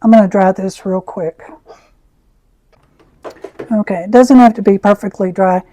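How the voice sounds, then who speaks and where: A woman with a raspy voice, who often clears her throat as she chats through an art tutorial. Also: mid-range voice, steady tone. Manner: slow, soft-spoken, frequent throat clearing. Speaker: art channel host. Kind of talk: casual art tutorial chat.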